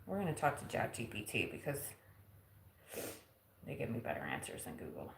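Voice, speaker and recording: A woman's voice: extremely low pitch, wildly swooping pitch, brisk, creaky, roomy sound, a little hiss or hum. A woman in her forties chatting casually on a live stream at home, teasing herself and laughing often. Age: 40s. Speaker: vlogger. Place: home kitchen.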